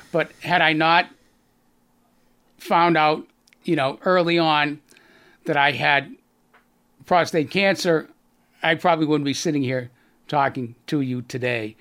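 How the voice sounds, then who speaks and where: A middle-aged man with a Boston accent speaks as a guest on a business radio show. His voice sits a little high for a man. He talks earnestly, in halting runs with plenty of fillers and restarts. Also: pushed and bright, clear, resonant tone. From Boston area, USA. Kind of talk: radio interview.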